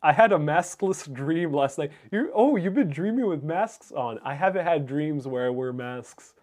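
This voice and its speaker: A man's voice: on the high side, lively intonation, normal speed, a little mellow. A man whose first language is Spanish, hosting a livestream in a casual, musing, somewhat hesitant way as he reads and answers viewer comments. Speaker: livestream host.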